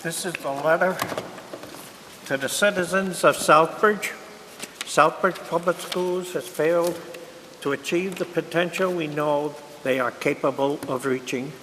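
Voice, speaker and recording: A man on a school board reading a formal letter aloud at a meeting, steady and formal. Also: on the high side, a little slow. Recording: reverberant hall with faint hiss.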